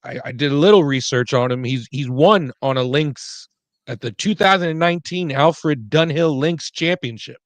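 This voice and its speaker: A man chatting casually as a sports show panelist, doubling back on his words in a halting way. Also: fairly high pitch, dry recording. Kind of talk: casual chat.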